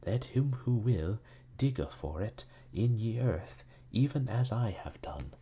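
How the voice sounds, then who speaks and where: Man acting a brusque, excitable theatrical magnate, pitched up. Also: slightly rough, exaggerated voice. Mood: slow, halting reading. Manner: terse, halting when reading out old writing.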